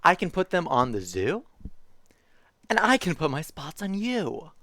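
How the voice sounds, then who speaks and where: A dopey spotted creature from a children's storybook, read aloud by a streamer, boasting in bouncy singsong rhymes. The pitch leaps up and down all over the place. The sound is dry and close. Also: big pitch swings, unhurried, a bit bright, no echo. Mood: boastful.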